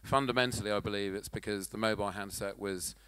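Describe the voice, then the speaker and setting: A man in his forties moderating a conference panel on a handheld mic, brisk and steering the talk. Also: lowish voice, steady tone, fairly bright tone, clear, resonant tone, no room sound. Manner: brisk, laughs easily, steering the chat. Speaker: panel moderator and company chairman. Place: large conference hall stage.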